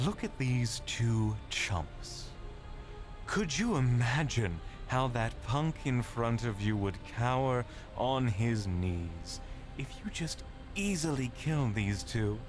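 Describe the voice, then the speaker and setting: A sadistic, taunting male tempter played by a man in an audio drama urges violence. He speaks slowly at an ordinary male pitch, full of contempt, over clear background music. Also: sing-song. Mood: sadistic goading. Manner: slow, contemptuous goading.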